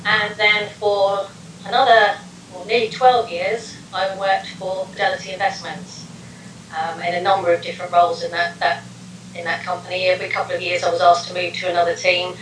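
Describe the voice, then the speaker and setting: A woman's voice: lowish voice, little pitch movement, brisk, a bit bright. Middle-aged London woman, echoey and distant. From London, England. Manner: friendly, hesitant with ums, lists things. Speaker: interview guest, personality test practitioner. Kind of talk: casual interview. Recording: echoey room, faint noise, off-mic.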